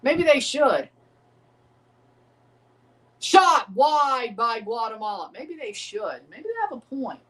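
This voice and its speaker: A man's voice: very high voice, very animated, takes it slowly, pauses often, a bit bright, recorded from a distance, rough recording. Rambling, profane man. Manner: rambling, profane, second-guessing himself. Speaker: livestream host reacting to a match. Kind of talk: casual livestream reaction chat.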